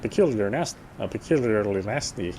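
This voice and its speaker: A man's voice: fairly low pitch, lively intonation, moderate pace. Man narrating horror over background noise. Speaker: playthrough host. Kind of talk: dramatic read-aloud narration of a horror game.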